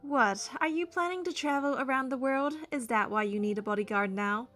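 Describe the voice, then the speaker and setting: A young woman reads a game story aloud, switching between narration and dialogue. Her voice is fairly high and expressive, with faint music behind it. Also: fairly quick.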